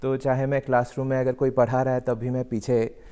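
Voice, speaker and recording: A man's voice: mid-range voice, little pitch movement, a little fast, warm tone, clear, resonant tone. A man raised in Delhi, answering interview questions in a hesitant way, with many fillers and English phrases mixed in. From Delhi, India. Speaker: guest artist.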